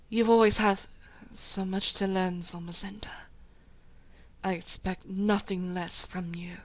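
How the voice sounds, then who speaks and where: A woman voicing a proud, solemn female warrior creature with a dramatic, measured delivery, as in a cartoon. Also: mid-range voice, fairly even intonation, no echo. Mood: proud, stern.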